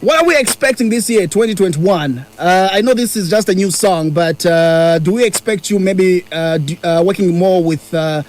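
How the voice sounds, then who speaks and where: A man's voice: high voice, animated, normal speed, hardly any pauses. A man hosting a radio interview, asking questions hesitantly with many fillers. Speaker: radio host.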